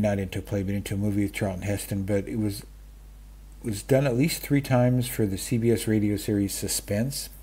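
Man in his fifties or older, low voice, soft dark tone, husky, halting casual vlog talk. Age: 50s or older. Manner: halting, self-interrupting, self-deprecating. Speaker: book vlogger. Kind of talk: casual rambling chat about stories and radio. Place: small room at home, indoors. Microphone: webcam framing, wearing wired earphones.

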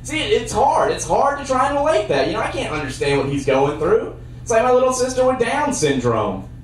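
A young man doing a stand-up comedy set with a handheld mic on a theater stage, trading dry one-liners for sudden loud bursts. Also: very high voice, big pitch swings, fairly quick, a little hiss or hum. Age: young adult. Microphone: handheld mic on a cord. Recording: roomy sound from a far camera.

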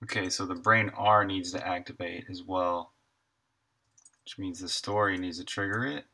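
Low, bright-voiced man thinking aloud. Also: steady tone, normal speed, creaky. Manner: half to himself, in short fragments. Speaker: indie game developer live streaming. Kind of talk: casual think-aloud commentary while working.

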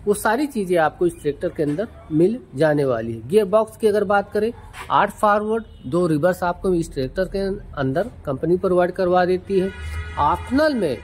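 Woman doing a tractor review, lowish voice, keeps calling farmers brothers, repeats herself a lot. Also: a little mellow, slight background noise. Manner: repetitive, explanatory, keeps addressing farmers as brothers. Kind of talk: product walkaround review. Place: at a tractor dealership.

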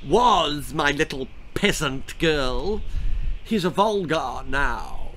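A man's voice: high-pitched, expressive, slow pace, pushed and bright, slight background noise, voice pushed up, full character voice. A man voicing a haughty, spiteful princess who spits and sneers her words. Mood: sneering. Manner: spitting, sneering.

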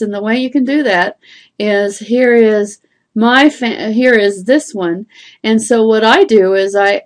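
A middle-aged woman giving a screen-share tutorial on online marketing from home, chatty and meandering, with fillers and restarts. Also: fairly high pitch.

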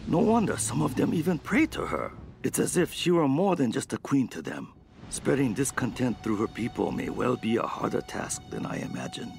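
An actor playing a grave, wary ambassador and spy in a video game, reading his journal in measured, formal, dramatic phrases. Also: medium pitch, very animated, gravelly, noisy background. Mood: curious, sceptical. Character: grave, wary male ambassador spying at a foreign court. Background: music.

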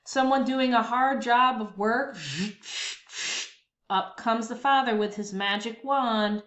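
A woman reading a children's story aloud with expressive, dramatic, emphatic phrasing. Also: a little high, slow pace. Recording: dull lo-fi, roomy echo.

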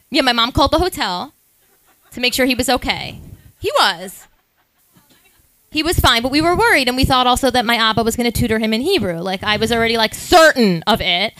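A woman's voice: fairly high pitch, bright, forceful tone, dry recording. A woman in her thirties, a podcast co-host, telling a long rambling story with lots of asides at a live event.

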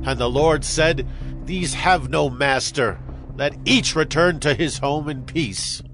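Micaiah, slow high-voiced defiant prophet. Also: male voice, a bit bright, a little hiss or hum. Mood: solemn proclaiming. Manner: declamatory, drawn-out proclamation. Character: Micaiah, a defiant, solemn male Hebrew prophet. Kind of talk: dramatized audio Bible acting.

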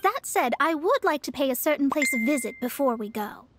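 An actress voicing a proud young female astrologer in a video game, formal and self-assured, with soft game music behind her. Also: high-pitched, lively intonation, a little fast, background noise. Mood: persuasive. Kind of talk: game voice acting.

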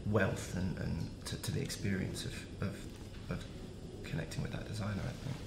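Deep gravelly man, flat and halting. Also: reverberant, heavy background noise. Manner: halting, searching for words. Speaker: panelist. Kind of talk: casual panel discussion.

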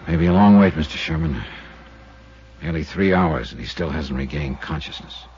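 A man's voice: very deep voice, lively intonation, normal speed, darkish tone. An actor playing a terse, world-weary male insurance investigator who also narrates, in 1950s radio drama style. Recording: muffled old radio broadcast with music.

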